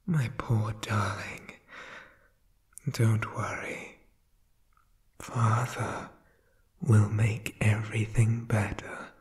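A man's voice: lowish voice, little pitch movement, very slow, long pauses, a little mellow. A man playing a sadistic, doting demonic father in an ASMR horror roleplay, whispering with sinister mock tenderness. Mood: mock-tender, menacing.

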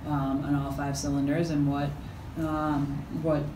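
A middle-aged woman head coach answering postgame questions at a press conference, halting and full of fillers and restarts. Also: low voice, flat delivery. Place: press conference room with backdrop. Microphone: table mic from a few feet. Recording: echoey room, mic at a distance, noisy.

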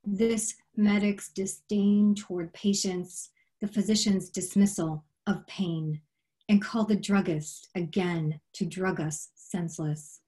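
Slow, mellow woman reading poetry. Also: mid-range voice, fairly even intonation. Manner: measured, deliberate, solemn delivery. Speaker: poet reading her work. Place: small room at home, on a video call. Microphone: computer microphone on a video call.

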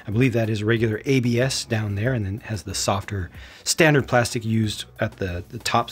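A man in his thirties, unscripted, giving an opinionated toy review with lively gestures, recorded indoors in front of shelves. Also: lowish voice, husky. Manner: animated hand gestures, opinionated. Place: indoor room with storage shelves.

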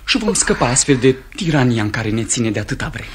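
A lovestruck young gentleman, played by a man, speaks in rhapsodic, declamatory phrases. His pitch swings widely with impetuous ardour, in the style of an old radio play. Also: big pitch swings, background noise, natural voice. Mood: aggrieved, resolute.

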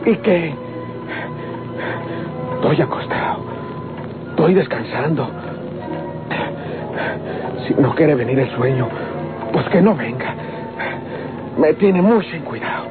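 An actor playing a restless, frightened Mexican rancher who stammers and mutters to himself in old radio drama style. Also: male voice, on the high side, animated, slow, pauses often. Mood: fretful, weary. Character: restless, frightened rancher tormented by sleeplessness and nightmares. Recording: tinny old radio recording, loud music.

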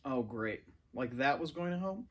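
A man's voice: medium pitch, lively intonation, moderate pace, breathy edge. A man around forty reading a children's novel aloud indoors, recorded on a camera a little way off. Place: large furnished living room, indoors. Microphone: camera or phone a few metres away.

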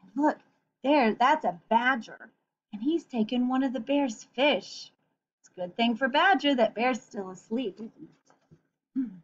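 A woman reading a picture book aloud for children in an expressive, gentle way, with chatty asides. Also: fairly high pitch, unhurried. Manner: expressive, gentle, addressing young listeners. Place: small room at home. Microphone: computer webcam at arm's length. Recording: dull, muffled webcam recording.

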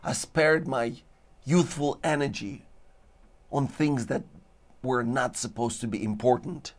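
A man hosting a talk show, declaiming in dramatic lists while his voice is going hoarse. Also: mid-range voice, fairly even intonation, unhurried. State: losing his voice. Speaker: show host. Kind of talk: rambling philosophical monologue. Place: studio with green-screen backdrop.

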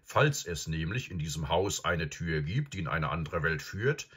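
A man voicing a serious, calm old professor in a storybook, speaking deliberately with pondering hums and murmurs. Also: sits low, slightly rough, exaggerated voice. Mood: musing, earnest. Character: serious old male professor, calm, probing, absent-minded. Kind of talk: audiobook character acting.

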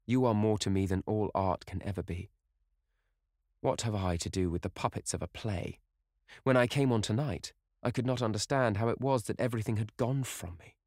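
A man's voice: medium pitch, no room sound, clean studio sound, voice pushed up, close to an everyday voice. A man reading aloud, voicing a young actress swept up in love, in an ecstatic, pleading, theatrical way.

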